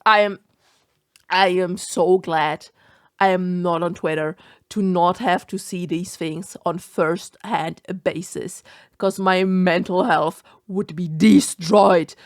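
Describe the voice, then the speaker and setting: A woman's voice: on the low side, steady tone, slow, no room sound, studio quality. A young woman with a German accent reacting to a video in casual commentary, incredulous and swearing often.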